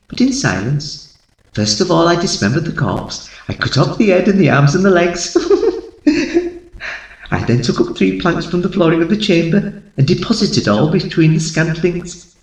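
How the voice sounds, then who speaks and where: A man performing a deranged, boastful murderer who confesses in a gothic horror tale, with theatrical intensity and halting emphasis that suddenly swells. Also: mid-range voice, roomy sound, close to an everyday voice, poor audio. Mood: gloating. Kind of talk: dramatic gothic horror story reading.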